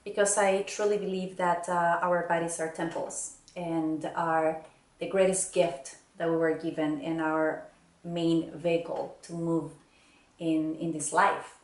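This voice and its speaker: A woman from Bolivia answering interview questions reflectively, pausing often with hesitant fillers. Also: on the low side, little pitch movement, echoey room.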